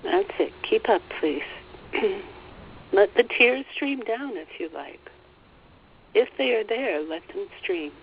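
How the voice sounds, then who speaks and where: Slow, soothing woman guiding meditation. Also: medium pitch, lively intonation, muffled recording, slight background noise. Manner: gentle, soothing, repeats phrases. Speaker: spiritual teacher leading a meditation. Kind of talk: guided meditation, relaying a guide's words.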